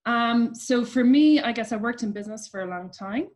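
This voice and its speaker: A woman with an Irish accent giving reflective, explanatory answers as a guest in an interview, pausing as she phrases her thoughts. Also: on the high side, darkish tone. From Ireland. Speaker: interview guest, coach at a business charity.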